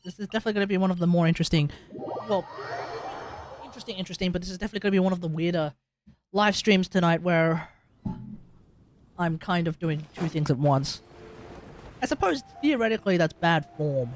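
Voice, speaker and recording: A woman's voice: fairly low pitch, quick pace, long pauses, noisy background. A woman live streaming a game, chatting casually and rambling as she thinks aloud. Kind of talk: casual gameplay livestream chat.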